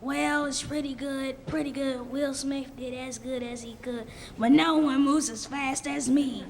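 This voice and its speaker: A woman's voice: sits high, steady tone, unhurried. A female performer playing a boastful male champion boxer in a scripted school radio show. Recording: clearly noisy amateur stage recording.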